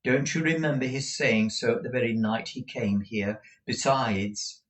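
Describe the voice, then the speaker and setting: A man voicing a gentle, modest young woman, a dutiful storybook daughter who protests earnestly. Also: medium pitch, a little slow, distant mic, lightly acted.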